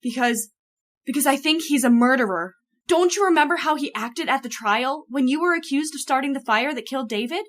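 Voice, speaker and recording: A woman's voice: sits high, brisk, natural voice. A woman playing an anxious, suspicious friend in an old-time radio horror play, urgent and dramatic. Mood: accusing.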